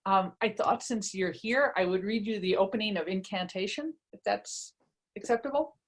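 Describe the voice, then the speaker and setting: A woman author speaks in a conversational, self-deprecating manner at an informal book reading. Their voice sits at a middle pitch, and they move between casual chat and reading aloud. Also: moderate pace.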